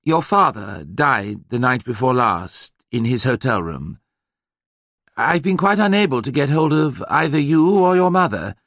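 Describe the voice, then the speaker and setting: A man's voice: mid-range voice, very animated, measured pace, a bit bright, dry recording, clean studio sound, pitched above the performer's own voice. A man voicing a strained, drawling upper-class Englishman bearing bad news in a mannered, gushing way. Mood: solemn, gushing.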